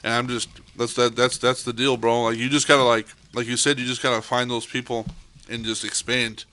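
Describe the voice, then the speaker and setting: Bright-voiced young man, hyped host. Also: medium pitch, level tone, brisk, a little hiss or hum. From Texas. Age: 20s. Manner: hyped, joking, bro-talk. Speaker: podcast host. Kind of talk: casual podcast interview.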